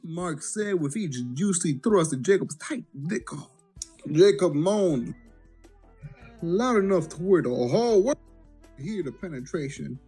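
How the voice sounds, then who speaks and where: Mock-dramatic amateur story reader with wide pitch swings. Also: expressive, a little slow, warm tone, husky, soft background music. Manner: mock-dramatic, crude, breaking off into asides. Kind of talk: dramatic reading of a comic story.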